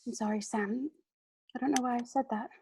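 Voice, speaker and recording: Troubled young hobbit, high lively voice, soft and tense. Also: male voice, normal speed, slight background noise, exaggerated voice. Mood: strained. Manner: soft, strained, turning possessive. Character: young male hobbit, burdened, defensive. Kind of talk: film acting.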